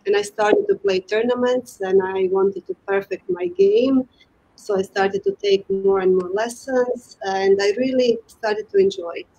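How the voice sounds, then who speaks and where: A woman's voice: medium pitch, animated, unhurried, gravelly, dark tone, low-quality recording. A middle-aged woman from Croatia with a Croatian accent, giving conversational answers as an interview guest. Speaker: guest, golf club sales and marketing manager.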